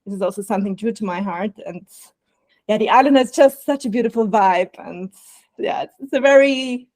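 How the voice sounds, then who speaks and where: A woman's voice: mid-range voice, a little fast, dry recording. Austrian woman, dry close sound. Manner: warm, reflective, laughs often. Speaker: guest, burnout prevention coach. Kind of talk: podcast interview.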